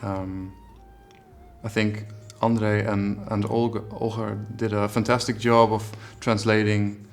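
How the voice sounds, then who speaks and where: A man in an interview, reflecting on his creative work as an illustrator in a measured, explanatory way. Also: fairly low pitch, flat delivery. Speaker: illustrator and storyteller. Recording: music behind the voice.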